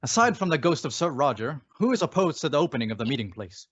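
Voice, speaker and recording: A man playing a bold, inquisitive gentleman detective in an old-style radio mystery, theatrical and self-assured. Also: fairly high pitch, very animated, fast talker, breathy edge. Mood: probing. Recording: dull lo-fi radio play recording.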